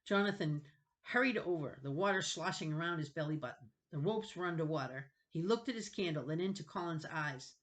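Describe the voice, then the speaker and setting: A woman's voice: deep voice, fairly quick. A woman narrating a children's novel dramatically, reading with expression and suspense. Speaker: storybook reader.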